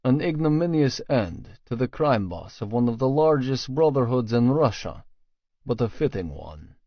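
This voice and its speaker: A man's voice: mid-range voice, very animated, normal speed, dry recording. A man reading first-person fiction as an audiobook narrator, in a scripted storytelling style. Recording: dry, slightly dull studio sound.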